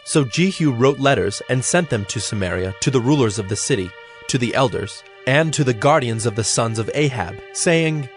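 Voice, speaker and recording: Male scripture narrator over soft music. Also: medium pitch, expressive, normal speed.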